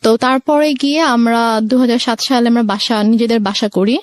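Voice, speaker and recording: A woman's voice: on the high side, steady tone, moderate pace. A woman in her twenties with a Bangladeshi accent, telling her life story in a radio interview and mixing in occasional English words. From Sirajganj, Bangladesh. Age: 20s.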